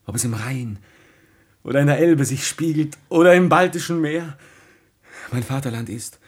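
An actor playing an ardent, jealous young nobleman in a classic German radio tragedy, impassioned and swinging between hushed and forceful speech. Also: on the high side, moderate pace, natural voice. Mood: ardent, tender. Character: ardent, impetuous, jealous young aristocratic lover.

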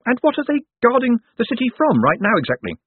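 A man voicing a sharp, sceptical, persistent woman newspaper reporter in an audiobook, with pointed questions in a raised voice. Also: very high-pitched, huge pitch swings, fast talker, slightly rough, no echo, pitched above the performer's own voice, exaggerated voice. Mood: probing. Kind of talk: audiobook character voice. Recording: thin phone-like narrow-band audio.

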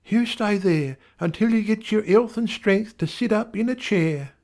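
Man voicing a high, strict, motherly wife, nursing and scolding. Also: a little slow, a little mellow. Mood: firm. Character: fussy, firm young wife nursing her husband.

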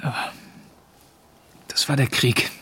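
An actor playing a weary young German soldier in a radio drama, speaking in a subdued, terse way. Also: male voice, slow, a bit bright. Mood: weary, bitter. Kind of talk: radio drama acting, dialogue and narrated thoughts.

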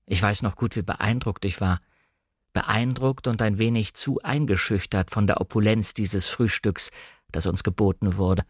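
A man reading a novel aloud as a shy, insecure young woman recalling her memories in an earnest, measured literary delivery. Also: fairly low pitch, husky, no echo, studio quality. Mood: reflective, intimidated. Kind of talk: first-person audiobook narration of a novel.

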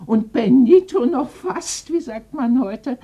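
An actress playing an eccentric, proud old lady in a radio mystery, husky and theatrical, drawing out her words. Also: sits high, animated, takes it slowly, warm tone, exaggerated voice. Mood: nostalgic, grand. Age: older. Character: eccentric old lady, dreamy, proud former jungle traveller. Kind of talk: 1990s German radio mystery play.

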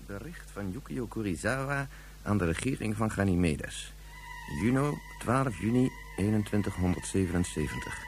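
A man playing a space investigator who dictates an official report in a radio play, formal and measured. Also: on the low side, lively intonation, faint music behind. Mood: formal, matter-of-fact. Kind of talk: scripted report in science fiction radio play.

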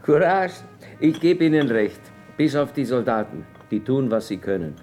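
An actor playing a boastful, cynical army chaplain in a radio drama, pompous and declamatory. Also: male voice, mid-range voice, big pitch swings, moderate pace, dark tone, faint music behind, lightly acted. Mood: emphatic, dismissive. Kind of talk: stage-style German radio drama acting.

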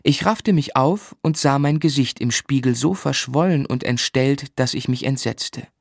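Grave, reflective literary narrator, dry sound. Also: male voice, medium pitch, gravelly, dry recording. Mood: pained. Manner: measured, grave. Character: reflective grown man recalling his unhappy childhood. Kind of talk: scripted literary narration in German radio play.

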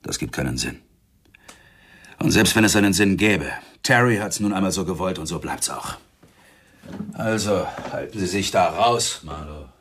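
An actor playing a menacing, boastful gangster with a gravelly husky voice, talking tough street slang in a radio crime drama. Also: male voice, deep voice, animated, moderate pace, noisy background. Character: menacing, boastful male gangster, war veteran with grudge.

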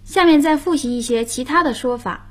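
A woman's voice: high voice, moderate pace, breathy edge. A woman hosting a radio language lesson, patiently explaining grammar and prompting her partner.

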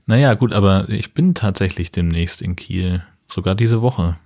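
Low, husky man. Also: moderate pace, a little mellow. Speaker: podcast co-host. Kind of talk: casual chat.

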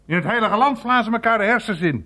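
A man's voice: high-pitched, expressive, fast, sharp tone. A man playing a blunt, irreverent older uncle in a naturalistic radio play, grumbling and swearing as he rambles. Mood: incredulous, indignant.

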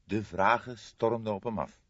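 A man reading a detective novel aloud as scripted narration, in a measured storytelling manner. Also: fairly low pitch. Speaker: audiobook narrator. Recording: dull lo-fi, boxy roomy sound.

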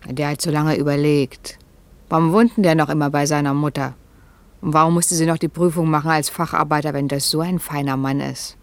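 A woman's voice: sits low, fairly even intonation, fairly quick, no room sound. An actress playing a scheming young single mother in a German radio play, offhand and needling in manner. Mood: defiant, dismissive. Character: defiant, scheming young single mother, sharp-tongued gossip.

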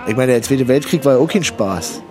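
A man's voice: medium pitch, lively intonation, fairly quick, a little mellow, soft background music. A man hosting an improvised comic radio sketch, hesitant and full of fillers and restarts. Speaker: radio comedy host.

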